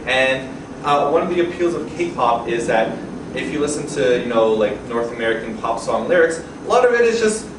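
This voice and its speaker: A young man speaking as a guest to a live audience, telling lively, animated stories and swearing casually. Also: mid-range voice, normal speed. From Canada. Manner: animated, swears casually, acts out quoted voices. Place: event room with an audience. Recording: roomy, distant, noisy event audio.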